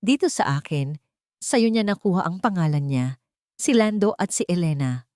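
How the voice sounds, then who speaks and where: A woman's voice: fairly low pitch, animated, normal speed, darkish tone, dry recording, lightly acted. A woman plays a devoted husband and father in a romance audio story. He speaks earnestly and affectionately, full of gratitude, in a dry, close recording. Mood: tender, proud. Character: tender, grateful husband and father in love.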